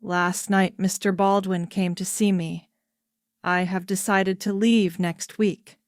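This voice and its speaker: A woman voicing a grave, resigned king who speaks quietly and sadly, in a smooth, clear voice. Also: mid-range voice, flat delivery, takes it slowly, no room sound, studio quality, close to an everyday voice. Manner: quiet, soft-spoken and resigned. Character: grave, sorrowful man, an abdicating king.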